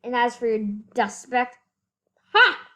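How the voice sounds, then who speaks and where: A woman's voice: on the high side, very animated, takes it slowly, sharp tone, full character voice. A female reader voicing a big, scornful, bossy kangaroo in a storybook, scolding with snapping emphasis. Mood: threatening.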